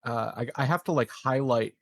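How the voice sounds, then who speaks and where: A man playing a tabletop game, chatting casually in hesitant, halting phrases. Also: medium pitch, gravelly, no echo.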